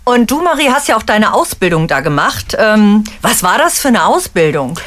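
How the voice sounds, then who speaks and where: A woman's voice: mid-range voice, very animated, moderate pace. A woman interviewing a guest, friendly and curious, with plenty of follow-up questions.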